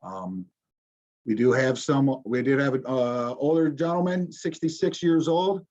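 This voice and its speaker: A man's voice: mid-range voice, unhurried, darkish tone. A man, a police officer presenting slides to a community audience, speaking informally with many ums and restarts. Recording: dull, muffled recording.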